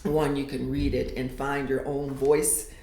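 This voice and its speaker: A woman poet reading her verse slowly and dramatically, sinking to whispers at times, then talking casually about her writing. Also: very deep voice, little pitch movement. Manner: slow, dramatic reading with hushed whispered lines. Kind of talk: poetry reading and casual interview. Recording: echoey room.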